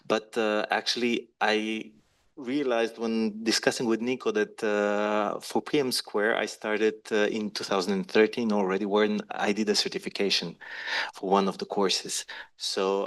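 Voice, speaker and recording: A man introducing himself on a panel, speaking in a measured way with frequent hesitations. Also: lowish voice. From Slovenia.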